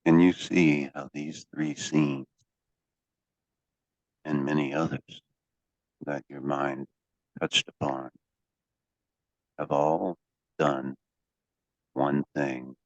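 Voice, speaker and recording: Very deep-voiced male hypnosis coach. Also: little pitch movement. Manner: deliberate, commanding, with drawn-out pauses. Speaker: hypnotherapy coach leading a live session. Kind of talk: guided hypnosis, prompting phrases to be repeated. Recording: dull, echoey lo-fi room sound.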